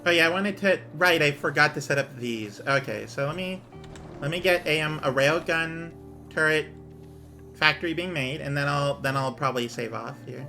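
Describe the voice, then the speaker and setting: Male streamer, bright, slightly raised voice, hoarse, murmuring stats as he plays; faint music behind. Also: a little high, moderate pace. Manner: muttering numbers while he plays, thinking aloud. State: losing his voice. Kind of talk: casual gameplay commentary.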